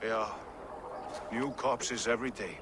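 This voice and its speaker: A man's voice: lowish voice, slow pace, background noise. Grim medieval dockside watchman. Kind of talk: scripted video game cutscene acting.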